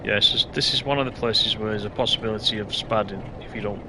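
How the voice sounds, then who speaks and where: A man's voice: lowish voice, steady tone, fast talker, noisy background. Chatty man streaming a train game. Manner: chatty, reads out chat comments. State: a bit hoarse. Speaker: live streamer. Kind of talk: casual gameplay commentary.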